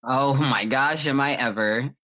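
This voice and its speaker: A woman's voice: extremely low pitch, a little slow, fairly bright tone. A young adult woman with an American accent, hosting a casual chat in an emphatic, gushing manner. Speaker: podcast host.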